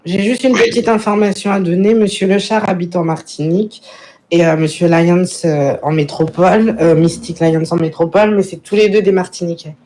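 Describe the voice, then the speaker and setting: Sex: man. Role: bystander chiming in. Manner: quick interjection. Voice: high-pitched, faint hiss behind. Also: fairly even intonation, a little mellow.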